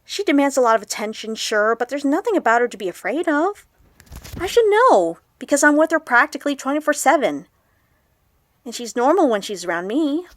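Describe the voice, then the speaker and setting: Excitable, high-voiced young vlogger girl. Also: brisk, no echo. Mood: puzzled, defensive. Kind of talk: audio drama acted as a confessional vlog.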